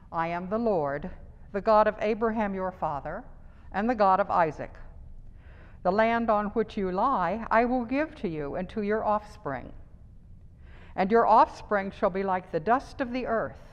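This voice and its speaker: An older woman reading scripture aloud in a measured, even way, wearing a face mask in a small church. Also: mid-range voice, dull audio. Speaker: lay reader. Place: small church chancel, indoors. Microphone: wearing a face mask, far camera. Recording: long church reverb.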